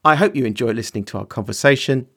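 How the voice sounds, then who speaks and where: A man hosting a faith podcast, reading a scripted intro and then chatting with a guest in an earnest, measured way. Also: medium pitch, fairly bright tone, husky. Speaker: podcast host.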